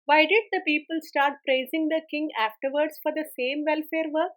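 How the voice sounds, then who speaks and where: A woman's voice: high-pitched, fairly even intonation, normal speed, a bit bright. A woman voicing a menacing, riddling vampire spirit in a folk tale. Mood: challenging.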